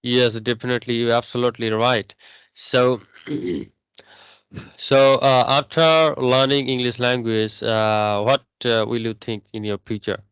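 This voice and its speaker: Man, smooth and close. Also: mid-range voice, flat delivery, fairly bright tone, no room sound, low-quality recording. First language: Bengali. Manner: halting, many restarts and fillers. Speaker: English learner. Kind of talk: casual English practice chat.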